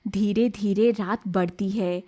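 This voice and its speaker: A woman's voice: medium pitch, steady tone, slow, warm tone, a little hiss or hum. A woman narrating a scripted cartoon story as storyteller.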